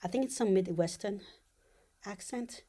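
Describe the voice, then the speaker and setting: A woman's voice: mid-range voice, measured pace, mellow. A woman giving an informal explanatory monologue, thinking aloud as she explains technical ideas step by step. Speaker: video essayist.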